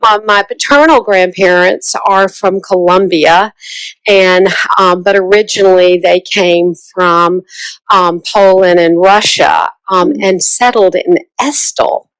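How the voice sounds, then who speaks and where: A woman's voice: lowish voice, clear, resonant tone, no room sound, low-quality recording. An older woman from Charleston, an author introducing herself in a big voice with many fillers. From Charleston, South Carolina. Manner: slow, loud, with many fillers. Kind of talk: book talk introduction.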